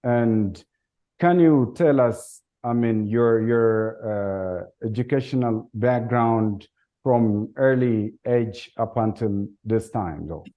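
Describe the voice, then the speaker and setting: A man's voice: fairly low pitch, slow. A man hosting a television interview program, opening with a formal greeting and putting questions to his guest. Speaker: TV talk show host. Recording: dull lo-fi broadcast sound.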